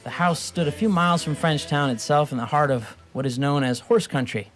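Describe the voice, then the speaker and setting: A man's voice: a little high, fairly even intonation, fairly quick, fairly bright tone, soft background music. A man narrating a scripted comic radio story in a wry, mock-formal way.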